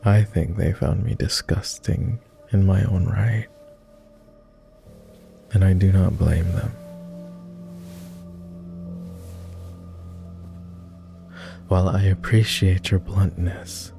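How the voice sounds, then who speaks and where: A man playing a weary, courteous immortal vampire in intimate ASMR roleplay, speaking softly in formal, hesitant phrases. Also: sits low, steady tone, gravelly, music in the background. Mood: resigned, reserved. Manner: formal, measured, hesitant with pauses. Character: weary, reserved, self-loathing male vampire, immortal, courteous.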